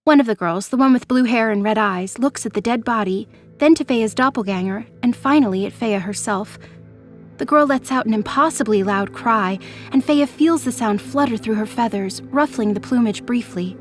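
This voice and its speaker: Female narrator, slightly high voice, scripted dramatic storytelling over faint music. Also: moderate pace.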